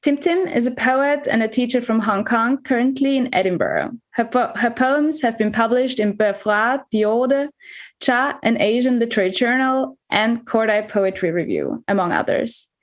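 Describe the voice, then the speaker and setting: Woman host, higher voice, friendly, slight stumbles, introducing a reader online. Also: normal speed. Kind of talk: reading-event introduction. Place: online reading festival.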